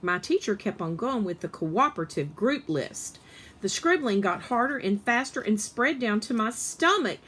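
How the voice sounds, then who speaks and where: A woman reading a children's story aloud in an animated, expressive way, with emphasis on the dialogue. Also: fairly high pitch, normal speed.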